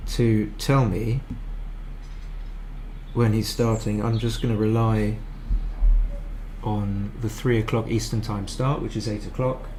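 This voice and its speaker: A man's voice: fairly low pitch, level tone, slow, long pauses, a little mellow, slight background noise, low-quality recording. A man giving casual live commentary on a match as a streamer, chatty and thinking aloud with frequent restarts.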